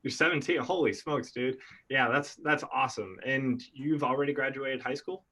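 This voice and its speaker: A man's voice: mid-range voice, normal speed, bright, forceful tone. A man hosting a casual interview, chatty and enthusiastic, often restarting his sentences. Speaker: interviewer host. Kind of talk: casual video-call interview.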